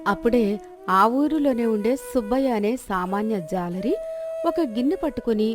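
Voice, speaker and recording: A woman's voice: on the high side, expressive, normal speed, soft background music. A woman narrating a scripted moral story.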